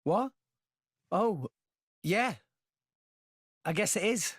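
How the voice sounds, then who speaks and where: High, husky, earnest boy hero. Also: animated, very slow, fairly bright tone, no room sound, studio quality, heavily acted. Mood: puzzled. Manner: earnest, impulsive. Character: earnest, loyal, plucky teenage boy hero.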